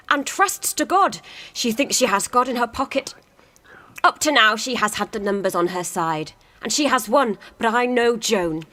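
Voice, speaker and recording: A woman playing a pragmatic, battle-wise military commander in a radio play, measured and blunt. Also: high voice, bright, forceful tone.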